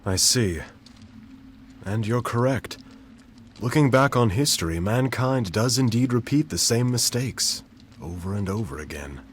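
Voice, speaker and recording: An actor playing a reflective young pianist in a video game cutscene, speaking in a thoughtful, soft-spoken way. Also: male voice, mid-range voice, expressive, measured pace, slight background noise, natural voice.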